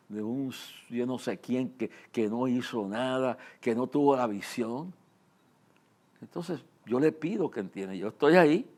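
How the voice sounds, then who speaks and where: An older man with a Puerto Rican accent giving an informal religious address and personal testimony, earnest and halting, repeating phrases as he speaks straight to listeners. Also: a little high. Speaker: preacher and ministry leader.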